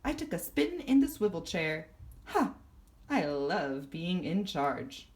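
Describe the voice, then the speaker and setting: A woman's voice: lowish voice, big pitch swings, takes it slowly, darkish tone. A woman voicing a self-important, theatrical male class pet lizard in a children's storybook. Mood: eager, proud. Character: vain, dramatic male class pet lizard journaling his adventures. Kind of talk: first-person children's storybook read-aloud.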